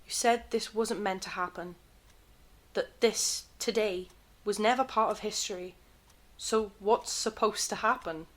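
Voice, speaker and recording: A woman's voice: medium pitch, fairly even intonation, a little slow, close to an everyday voice. A woman playing a shaken, defiant survivor of an ordeal in an audio drama, intense and earnest. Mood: searching, insistent.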